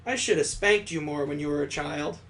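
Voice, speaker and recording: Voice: mid-range voice, fairly quick, fairly bright tone, voice pushed down, close to an everyday voice. A game streamer voicing a stern, weary detective father who talks down to his son in a visual novel. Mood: condescending. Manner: condescending, grave.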